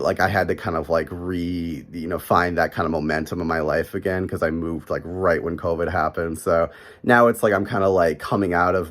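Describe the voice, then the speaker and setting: A young man from Chicago with a Midwestern American accent, talking casually to a close camera in a small bedroom and rambling with lots of fillers. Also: deep voice. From Chicago, USA. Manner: rambling, heavy "like" and "you know" fillers. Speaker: vlogger. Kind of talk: casual talking-head monologue. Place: small bedroom in an apartment, indoors.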